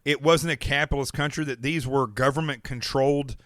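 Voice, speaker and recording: A man's voice: medium pitch, fairly even intonation, fairly bright tone, no echo. American guy hosting his podcast, rambly with lots of restarts, dry close mic. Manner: rambling, restarts, quick asides. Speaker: podcast host. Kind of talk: casual podcast talk.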